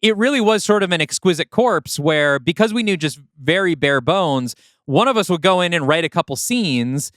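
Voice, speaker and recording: A man talks as a podcast co-host in a casual, conversational way. His voice is smooth and fairly high, with lively swings in pitch. The sound is close and dry. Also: normal speed, few pauses, no room sound. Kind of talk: casual chat about writing a movie script.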